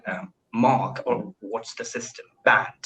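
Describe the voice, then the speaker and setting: A man's voice: fairly low pitch, steady tone, slow pace, sharp tone, slight background noise, low-quality recording. A young man with an Indian accent, practicing casual English conversation on a video call, often hesitating and repeating words as he starts sentences. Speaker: English learner.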